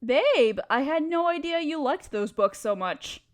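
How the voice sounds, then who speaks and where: Woman acting a high-voiced, affectionate, unhurried wife. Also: expressive. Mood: teasing, affectionate. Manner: teasing, theatrical, unhurried. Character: playful, affectionate bookshop-owning wife who loves poetry. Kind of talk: romantic audio roleplay with poetry recital.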